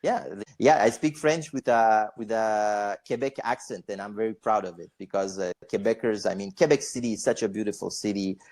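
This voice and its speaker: A man's voice: fairly low pitch, a little fast, few pauses, a little mellow. A young man born in Bosnia, guest on a podcast, chatting casually in a rambling way, with frequent fillers, restarts and swearing. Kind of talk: casual interview chat.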